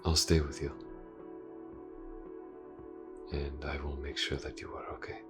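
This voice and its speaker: A man voicing a calm, reserved police detective in an audio roleplay, speaking formally and with gentle reassurance to a wounded partner. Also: very deep voice, music in the background. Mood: caring. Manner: measured, formal, gently reassuring, hesitant pauses. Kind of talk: audio roleplay, character acting.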